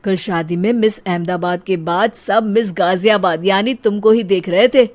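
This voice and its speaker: A woman's voice: medium pitch, normal speed, voice pushed down, natural voice. A woman voicing a tired young husband in a cartoon story, grumbling and exasperated. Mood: complaining.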